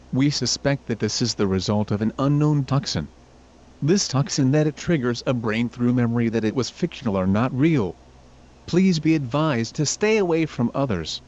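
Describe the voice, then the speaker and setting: A man's voice: mid-range voice, a little mellow. A synthetic text-to-speech man's voice reading a scripted emergency alert in a formal, stilted, automated way. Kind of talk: scripted emergency broadcast announcement. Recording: dull lo-fi audio.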